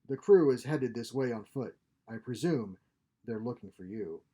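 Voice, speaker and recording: A man's voice: mid-range voice, expressive, normal speed, mellow, husky. A man voicing a glib, scheming exiled scientist in a science fiction story, theatrical in delivery. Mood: wry, confident. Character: exiled male scientist, wry, scheming, feigning desperation.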